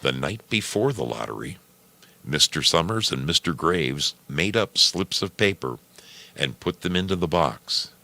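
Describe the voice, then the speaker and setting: A man with an extremely deep voice narrates a story in measured phrases. His tone is gravelly and creaky, and his intonation swings in a lively way as he moves deliberately through the prose. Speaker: storyteller and program host. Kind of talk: scripted narration of a short story.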